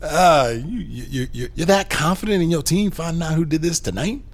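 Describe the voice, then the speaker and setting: A man's voice: fairly high pitch, moderate pace. A man playing an uneasy, gullible office boss in an audio drama, talking informally and hesitantly.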